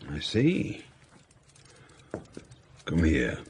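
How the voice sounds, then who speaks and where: A very deep, stern Victorian schoolmaster. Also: male voice, huge pitch swings, slight background noise, heavily acted. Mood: cold, probing. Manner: cold, deliberate interrogation. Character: stern, severe, pious school master in Victorian England. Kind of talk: period radio drama acting.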